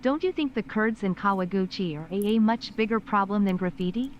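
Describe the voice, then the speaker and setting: A woman's voice: mid-range voice, brisk, lo-fi sound. A woman reading a short written viewer message aloud. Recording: faint background noise.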